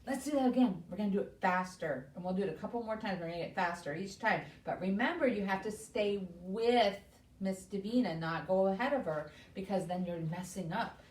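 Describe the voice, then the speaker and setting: A woman's voice: mid-range voice, brisk, hardly any pauses, a little mellow. A woman hosting a children's story time, giving simple, encouraging instructions and questions to young children. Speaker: library story time host. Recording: roomy echo, distant microphone.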